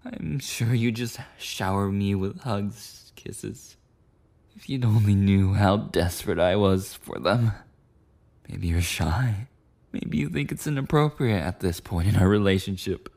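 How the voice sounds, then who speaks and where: A man's voice: on the low side, unhurried, gravelly, a little mellow. A man playing a clingy, insecure, possessive boyfriend in an intimate ASMR roleplay, rambling breathily and often slipping into whispers. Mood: needy, coaxing.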